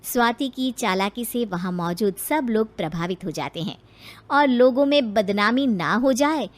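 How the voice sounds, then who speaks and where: A woman's voice: fairly high pitch, lively intonation, unhurried. A woman reading scripted narration for a moral story.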